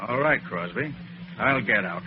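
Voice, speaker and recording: An actor playing a bold, determined newspaper reporter, firm and unflinching, in an old-time radio drama. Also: male voice, medium pitch, lively intonation, a little slow, a little hiss or hum, close to an everyday voice. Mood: defiant, firm. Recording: muffled vintage radio recording.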